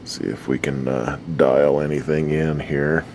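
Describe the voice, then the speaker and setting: A middle-aged man, slightly hoarse, chatting haltingly with many fillers while he fixes an old radio. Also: extremely low pitch, level tone, darkish tone. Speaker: radio repair hobbyist. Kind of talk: casual workbench chat. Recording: noisy workshop recording.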